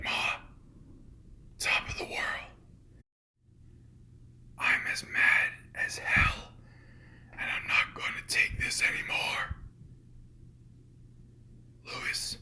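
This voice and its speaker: A host whispering a comedic parody of ASMR in a sarcastic, irreverent way, swearing freely. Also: sharp tone. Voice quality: whispered throughout.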